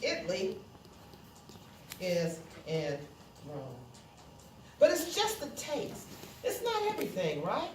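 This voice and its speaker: A woman's voice: mid-range voice, wildly swooping pitch, slow, pauses often, a little mellow, soft background music. A woman teaching a classroom lesson, explaining step by step, repeating herself and checking that students understand. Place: classroom, indoors. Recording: roomy, noisy classroom, distant mic.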